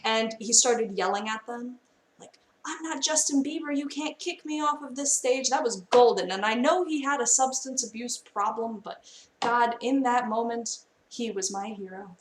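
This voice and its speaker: A woman's voice: a little high, moderate pace, far from the mic, slight background noise. A young woman chatting with her chat as she cooks on a live stream in a friend's kitchen. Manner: chatty, reads out and answers chat. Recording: roomy, off-mic, with faint music. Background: faint music.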